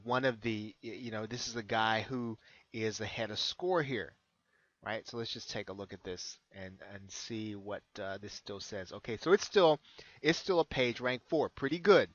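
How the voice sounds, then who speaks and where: An American man talks through an unscripted on-screen tutorial in a mid-pitched voice. He is hesitant, circling back and repeating phrases as he explains. The sound is dry and close, with a dull, lo-fi edge. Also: a bit bright, no echo. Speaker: online marketing consultant giving a tutorial.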